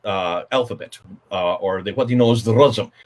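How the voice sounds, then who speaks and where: An American man speaking as a guest, emphatic and quick to cut in and steer the conversation. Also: fairly low pitch, darkish tone. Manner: emphatic, interrupts and takes charge of the conversation. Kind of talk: animated explanatory talk.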